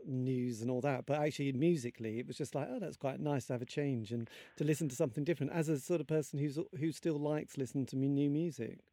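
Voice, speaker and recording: A man's voice: medium pitch, steady tone, quick pace, few pauses, mellow, no room sound. A man hosting a music show, chatting casually and agreeably with his guest. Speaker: radio show host. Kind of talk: casual interview chat.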